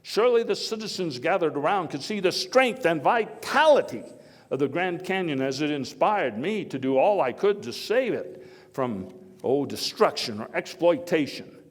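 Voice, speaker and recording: An actor playing an earnest, folksy statesman orator of early 1900s America in a theatrical first-person speech, emphatic but given to halting digressions. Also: on the high side, natural voice. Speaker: living-history performer. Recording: echoey hall with long reverb.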